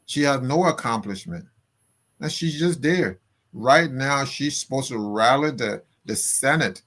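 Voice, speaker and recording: A man's voice: fairly high pitch, fairly bright tone. A man with a Liberian accent giving impassioned political commentary as a guest, indignant and emphatic, repeating his phrases for force.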